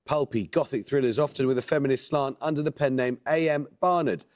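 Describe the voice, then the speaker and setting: A man hosting a quiz show, reading questions and introductions with a measured, precise delivery. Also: mid-range voice, darkish tone.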